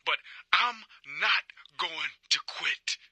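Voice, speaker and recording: An actor playing a middle-aged, bitter and broken ex-cop father in a game, speaking emotionally and haltingly like a confessional diary. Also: fairly high pitch, expressive, sharp tone, breathy edge, no echo, heavily acted. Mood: defeated, bitter.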